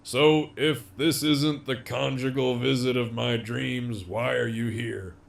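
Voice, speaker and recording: Man playing a slow, gravelly, sly imprisoned villain. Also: medium pitch, fairly even intonation. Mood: sly teasing. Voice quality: harsh, scratchy, gravelly. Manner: drawling, sardonic, swaggering. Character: sly cocky imprisoned male villain with damaged throat. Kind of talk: audio drama acting.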